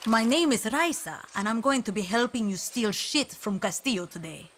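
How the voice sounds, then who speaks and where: A woman's voice: a little high, animated, fairly bright tone, slight background noise. An actress playing a tough, wry female rebel and former volunteer soldier who briefs a partner on a mission in a video game. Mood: brisk. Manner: brisk, instructive, wry. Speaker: guide giving mission briefings. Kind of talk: video game cutscene acting.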